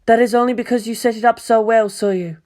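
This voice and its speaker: A woman voicing a quiet, enigmatic teenage girl pilot in a story, measured and restrained. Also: on the high side, steady tone, voice pushed up, close to an everyday voice. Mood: cool, matter-of-fact. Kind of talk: acted story dialogue.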